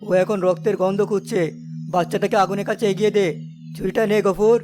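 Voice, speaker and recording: A man's voice: high voice, steady tone, quick pace, music in the background, close to an everyday voice. A man playing a wicked, menacing occult sorcerer in a cartoon story, with an imperious, theatrical, incantatory delivery. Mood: scornful commanding. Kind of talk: animated cartoon story acting.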